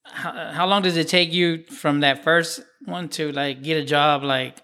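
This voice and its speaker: A man hosting a casual interview, asking eager questions and reacting quickly with casual slang. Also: fairly high pitch, steady tone, sharp tone, clean tone. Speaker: podcast host.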